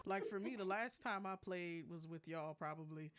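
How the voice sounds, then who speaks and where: A man hosting a casual podcast, informal and halting, swearing now and then. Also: high voice, over music. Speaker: podcast host steering topics. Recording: clear background noise on mic.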